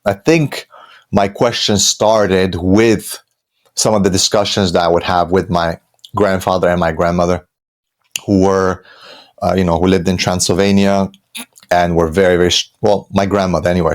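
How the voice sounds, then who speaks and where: A man's voice: low-pitched, steady tone, normal speed. A man in his thirties with a Romanian accent, telling his life story as a podcast guest in a loose, conversational way full of fillers and restarts. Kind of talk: personal storytelling.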